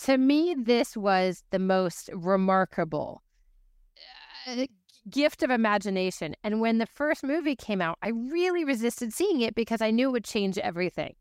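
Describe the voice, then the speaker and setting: Female podcast host, smooth clear voice, animated and chatty, dry sound. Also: a little high, normal speed, no echo. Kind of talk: casual interview conversation.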